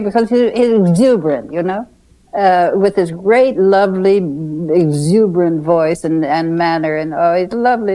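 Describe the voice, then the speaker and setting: An older woman with a fairly low, very mellow voice shares personal memories. She speaks fondly and haltingly, often repeating a word for emphasis. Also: poor audio. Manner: halting, fond, emphatic repetition. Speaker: reminiscing guest host. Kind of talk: unhurried personal reminiscence.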